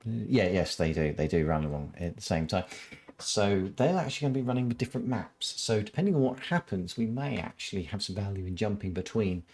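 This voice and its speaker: A man casting a live strategy game tournament, explaining the format with many pauses and ums. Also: fairly low pitch, a little mellow. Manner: halting, many ums, laughs often. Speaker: esports caster.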